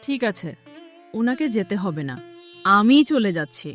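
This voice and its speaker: A woman's voice: medium pitch, big pitch swings, normal speed, soft background music, lightly acted. A woman playing a selfish, scheming young second wife in a cartoon story, complaining melodramatically. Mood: aggrieved. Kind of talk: cartoon moral story acting.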